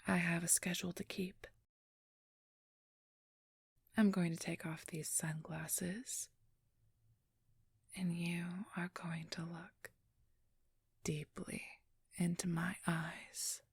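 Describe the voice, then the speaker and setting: A woman playing a cunning, haughty supernatural thief who poses as a scholar, speaking in a soft, precise, formal whisper for an ASMR roleplay. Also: on the low side, little pitch movement, takes it slowly, pauses often, breathy edge, no room sound, full character voice. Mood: menacing, seductive.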